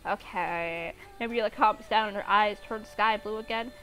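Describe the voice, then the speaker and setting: A woman's voice: mid-range voice, moderate pace, fairly bright tone, low-quality recording. A woman narrating fan fiction and breaking off for irreverent, informal asides. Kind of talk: story narration with casual asides. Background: music.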